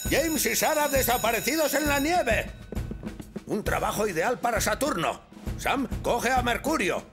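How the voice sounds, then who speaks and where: A proud, blustering older fire chief in a kids' cartoon. The voice is high for a man and gravelly, with slow, pompous delivery over music. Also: very animated, full character voice. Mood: excited, commanding. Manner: blustering, throat-clearing, pompous. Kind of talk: animated children's cartoon dub.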